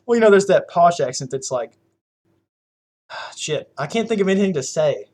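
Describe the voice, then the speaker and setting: A man's voice: a little high, expressive, moderate pace, darkish tone. A young man with a Southern US accent, chatting casually as a podcast host, rambling with lots of fillers and frequent laughs. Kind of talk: casual chat.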